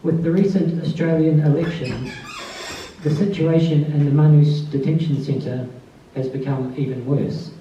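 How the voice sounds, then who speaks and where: A soft-spoken man speaks at a book festival event, first introducing a fellow writer and then reading his own short piece. His voice is dark and mellow and sits a little high, and it comes from some way off the mic. The sound is dull, with heavy room echo and a faint hiss. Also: steady tone, normal speed. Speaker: author giving a tribute reading. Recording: distant, dull, very echoey room.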